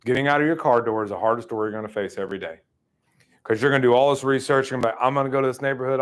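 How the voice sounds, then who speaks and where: A man's voice: medium pitch, smooth. Chatty male sales trainer. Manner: lively, casual, chatty, many fillers. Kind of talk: live training talk. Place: training room.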